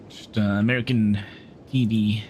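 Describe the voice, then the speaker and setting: Slow-talking man streaming a game. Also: on the low side, fairly even intonation, darkish tone, a little hiss or hum. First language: Norwegian. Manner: unhurried, reads game dialogue aloud, chats with viewers. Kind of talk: livestream gameplay commentary.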